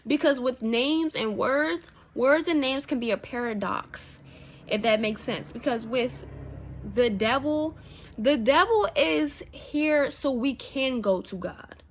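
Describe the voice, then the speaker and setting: Casual rambling woman, slightly high voice. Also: animated, takes it slowly, slight background noise. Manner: rambling, repeats herself, frequent "you know what I'm saying"; candid, casual swearing. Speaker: spiritual vlogger. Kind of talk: casual spiritual monologue to camera.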